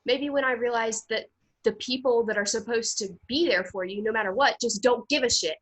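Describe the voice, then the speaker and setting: An actress in a play reading, playing a scornful teenage daughter with a blunt, accusing manner. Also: female voice, a little high, a little fast, close to an everyday voice. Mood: sullen, bitter.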